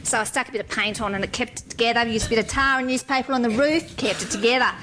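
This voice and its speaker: A woman's voice: on the high side, fast, bright, forceful tone, a little hiss or hum, low-quality recording. A middle-aged woman, a civil engineer, giving a frank, wry talk to an audience. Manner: frank and wry, with blunt humor.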